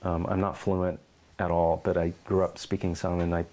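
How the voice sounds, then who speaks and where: A middle-aged man in an oral history interview at his home, telling lively stories and acting out quotes. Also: sits low, moderate pace. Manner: animated storyteller, acts out quotes and meows.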